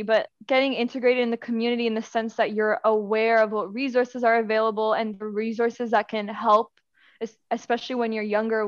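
A woman's voice: fairly high pitch, smooth, no echo, muffled recording. A young woman from Afghanistan, a guest speaking about her nonprofit's work in a casual interview, talking earnestly in long winding sentences. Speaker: guest from a youth education nonprofit.

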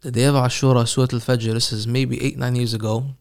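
A man who recites the Quran, answering interview questions calmly and reflectively, sharing his own experiences. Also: medium pitch, fast, creaky. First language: not Arabic. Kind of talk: casual interview.

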